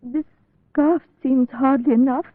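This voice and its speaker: Gentle, anxious lady, slow-spoken. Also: sits high, fairly even intonation, no room sound. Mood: faint anxiety. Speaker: actress in a radio play. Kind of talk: old-time radio drama acting. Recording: dry, narrow-band vintage radio recording.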